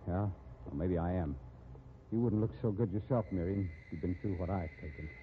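A man's voice: deep voice, a little fast, close to an everyday voice. An actor playing a bitter, self-pitying ruined man reduced to panhandling, in 1950s radio drama style. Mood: bitter, defensive. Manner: sardonic, self-pitying, weary. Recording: tinny, noisy 1950s radio recording.

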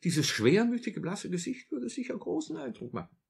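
A man's voice: extremely high pitch, huge pitch swings, normal speed, creaky, breathy edge, heavily acted. A man playing a henpecked, haggling undertaker in a 1950s German radio play, speaking in a hesitant, coaxing, mild manner. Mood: placating, coaxing.

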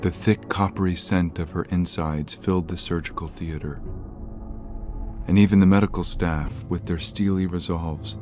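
An actor narrating a medical horror tale gravely, in scripted audio drama style. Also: male voice, deep voice, fairly even intonation, moderate pace, a little mellow, soft background music, lightly acted.

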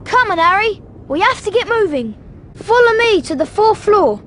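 A woman's voice: very high-pitched, sing-song, normal speed, fairly bright tone, slight background noise, full character voice. A woman voicing an anxious, bossy schoolboy wizard in a video game, impatient and exclamatory.

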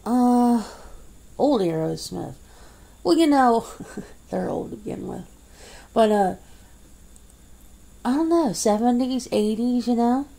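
Woman ASMR creator, casual one-sided home chat, rambling and laughing, husky mellow tone. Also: fairly high pitch, expressive, slow pace, long pauses, rough recording. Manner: rambling, chatty, laughs often, many fillers. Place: indoors at home.

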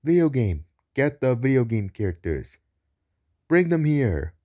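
A man's voice: medium pitch, very animated, measured pace, dark tone. A man voicing a big, bossy, short-tempered cartoon villain boss who blusters and repeats himself. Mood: excited, commanding. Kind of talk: cartoon villain character lines.

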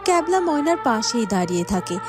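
A woman's voice: on the high side, sing-song, a little fast, music in the background. A woman reading scripted narration for a fairytale cartoon.

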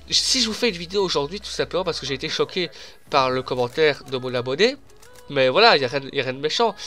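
A man's voice: a little high, sharp tone. A young man with a head cold and a blocked nose, chatting emphatically over a video game as he comments on his own play. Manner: chatty, emphatic, addresses viewers as "les gars". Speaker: gaming commentator. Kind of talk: casual voice-over commentary over gameplay.

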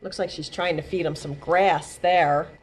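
A woman's voice: lowish voice, steady tone, a little slow, husky. A middle-aged woman hosting a library storytime for young children, speaking expressively and drawing out key words for emphasis. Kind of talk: read-aloud for young children. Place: small indoor library room.

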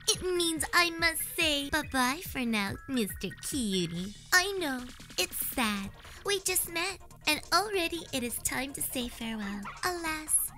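A woman's voice: high-pitched, lively intonation, slow, bright, forceful tone, faint music behind, full character voice. A woman voicing a sly, flirtatious cartoon mouse thief in a fan-acted video game, with coy, theatrical teasing.

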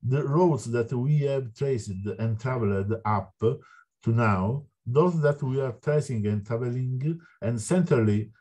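Middle-aged italian guy reading his speech on a video call, formal and a bit halting, husky voice. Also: mid-range voice, mellow. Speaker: board member. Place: indoor office with wooden wall.

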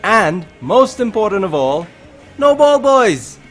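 A man presenting a sports highlights show in a scripted voice-over, with an emphatic, measured delivery. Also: sing-song, fairly bright tone. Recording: music under the voice. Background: background music.

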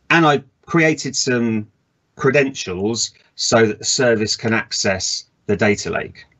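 A man in his thirties giving a relaxed technical conference talk from a home office over a video call, with frequent ums and uhs. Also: lowish voice. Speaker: conference presenter. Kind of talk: technical presentation over slides.

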